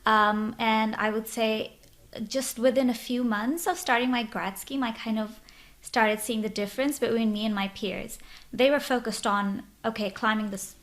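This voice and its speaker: A woman's voice: a little high, steady tone, moderate pace, a bit bright. A woman in her twenties from Bangladesh, a podcast guest telling her story in a bubbly, open way. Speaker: guest, social media influencer. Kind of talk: casual interview chat.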